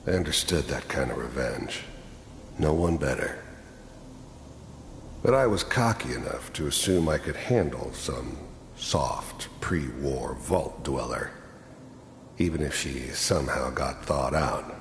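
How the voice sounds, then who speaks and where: Very deep gravelly cynical mercenary. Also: male voice, expressive, full character voice. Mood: brooding, bitter. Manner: slow-burning, wry, world-weary confiding narration. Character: cynical hardened middle-aged male mercenary, brooding and cocky. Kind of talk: video game character monologue. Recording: echoey hall reverb with background noise.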